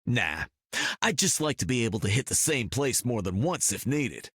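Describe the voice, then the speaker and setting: An actor playing a confident, wisecracking superhero archer in a video game, with casual, wry American banter. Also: male voice, mid-range voice, sing-song, moderate pace, a bit bright, breathy edge, no room sound, studio quality.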